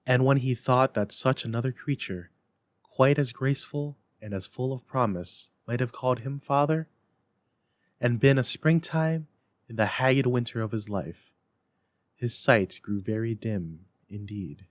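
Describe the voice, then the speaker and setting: A man reads as a storyteller in a dramatic, scripted style. His voice sits at a medium pitch and his delivery is expressive and emphatic. The recording is dry. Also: unhurried, dry recording. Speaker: library staff story reader.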